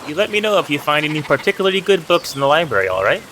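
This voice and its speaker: A friendly, humble miller from a historical video game, acted out by a man. He talks in a folksy, storytelling way with a bright, forward voice. A little noise sits behind him. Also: a little high, very animated, racing, rough recording. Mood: warm, reflective. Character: friendly, humble village miller who loves old legends. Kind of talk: reading video game dialogue aloud.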